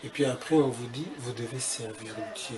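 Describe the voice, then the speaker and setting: A man's voice: mid-range voice, fairly even intonation, moderate pace, noisy background. A man from Congo, a guest recounting his past in the church, speaking hesitantly and restarting phrases. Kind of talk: personal testimony in conversation.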